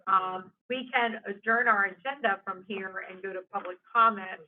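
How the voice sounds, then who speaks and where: A meeting chair presiding in a brisk, firm manner, calling the roll and moving the agenda. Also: mid-range voice, steady tone. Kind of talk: presiding over a public meeting. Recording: tinny phone-like meeting audio with faint hiss.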